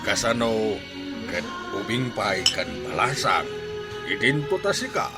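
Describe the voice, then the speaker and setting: An actor playing the captain's easygoing drinking buddy in a radio comedy, laughing and agreeing. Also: male voice, fairly low pitch, very animated, unhurried, over loud music. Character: easygoing middle-aged male drinking buddy. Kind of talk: radio comedy drama acting.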